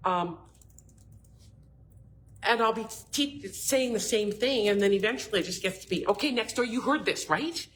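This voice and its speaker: A middle-aged woman with a big voice, a bra fitter chatting casually and telling lively stories, acting out what her customers say. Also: medium pitch, moderate pace, pauses often, a little hiss or hum. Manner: lively storyteller, acts out customers' lines. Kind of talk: casual shop talk with stories.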